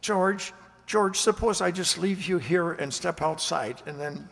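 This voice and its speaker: A man's voice: sits high, measured pace, creaky, a bit bright, exaggerated voice. A man playing a meek, hesitant security guard in a radio drama, stammering and folksy as he speaks. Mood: nervous, deferential. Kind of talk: radio drama acting and narration. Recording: reverberant hall-like amateur recording.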